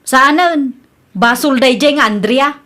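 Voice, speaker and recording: Woman acting stubborn younger cousin, high pitched, defensive excuse maker, ilocano accent, radio comedy drama. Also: takes it slowly, pushed and bright, full character voice. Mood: defensive, sulky.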